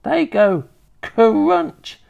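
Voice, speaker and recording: A woman's voice: a little high, expressive, very slow, gravelly, a little mellow, dull audio, voice pushed up, full character voice. A woman voicing a nasty, bossy, gleefully wicked tiny old grandmother in a children's storybook, with a snappish, scornful, emphatic delivery.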